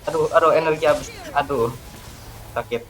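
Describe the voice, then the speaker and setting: A man's voice: a little high, fast talker, a bit bright, low-quality recording. A man giving casual, slangy gameplay commentary, reacting in short exclamatory bursts. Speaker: gaming streamer. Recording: voice over game music.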